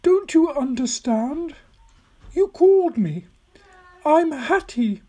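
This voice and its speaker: Storybook little old lady: very high, slow, insistent yet tender. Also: very animated, mellow, heavily acted. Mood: tender, delighted. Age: older. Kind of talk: audiobook character voice.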